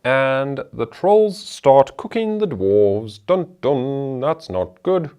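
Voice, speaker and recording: A man's voice: mid-range voice, very animated, slow pace, a little mellow, clean tone. A man hosting a board game show, talking through the rules and each move of a solo playthrough in a chatty way.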